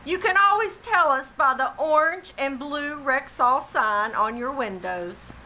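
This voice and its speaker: A woman's voice: high-pitched, expressive, measured pace, bright, forceful tone, full character voice, rough recording. Woman as an earnest radio druggist spokesperson. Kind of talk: 1940s radio commercial reading. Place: live small theater stage.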